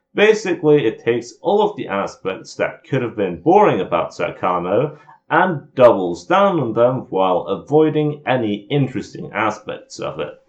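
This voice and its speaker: A man's voice: medium pitch, muffled recording. A man delivering semi-scripted review commentary in a blunt, somewhat hesitant way. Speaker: video reviewer of anime visual novels.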